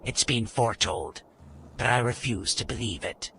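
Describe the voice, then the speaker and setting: A man's voice: mid-range voice, moderate pace, gravelly, pushed and bright, slight background noise. A man playing a menacing cultist villain in a fantasy audio game. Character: menacing hooded cultist man in skull mask.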